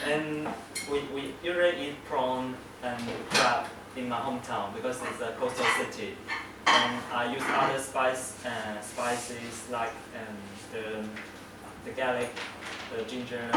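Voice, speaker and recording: A young man talks casually about food and answers questions. He stops often with fillers and restarts his phrases. The sound is roomy, with a long hall echo, and there is loud constant noise underneath. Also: mid-range voice. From South China. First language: Chinese. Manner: hesitant, many fillers and restarts. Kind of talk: casual interview and dish presentation.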